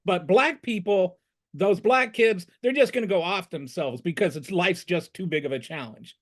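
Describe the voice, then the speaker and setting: High-voiced man arguing a point casually. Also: moderate pace. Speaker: discussion panelist. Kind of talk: casual, argumentative discussion.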